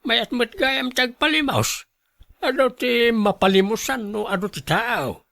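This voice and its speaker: A man playing a wheedling, stubborn elderly beggar in a radio drama, pleading with drawn-out cries. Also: very high voice, gravelly, pushed and bright, dry recording, clean studio sound, exaggerated voice. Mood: scheming, stubborn. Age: older. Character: wheedling, stubborn elderly husband begging on the street. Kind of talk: Ilocano radio drama acting.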